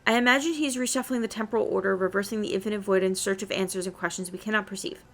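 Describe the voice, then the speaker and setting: A woman reading the part of a solemn hooded druid sage with formal, measured wording. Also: medium pitch, fairly bright tone, close to an everyday voice. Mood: reverent.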